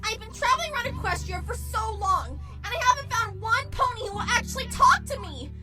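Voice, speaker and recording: Woman playing self-important cartoon pony showgirl magician, very high girlish voice, wildly lively intonation. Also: a little fast. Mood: desperate hurt. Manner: grandiose, dramatic, self-important. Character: boastful, theatrical female pony magician, lonely underneath. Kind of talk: animated cartoon fan drama. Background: background music.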